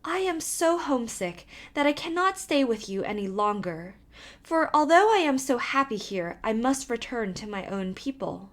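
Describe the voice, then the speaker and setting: A woman's voice: fairly high pitch, very animated, normal speed. A woman voicing a dutiful young fairy-tale girl who is earnest, polite and homesick.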